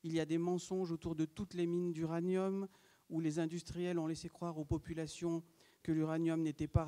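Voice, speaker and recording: A man's voice: a little high, dark tone. Insistent man speaking to a debate. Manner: insistent, emphatic. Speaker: panel speaker from a radiation monitoring group. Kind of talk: public talk in a debate.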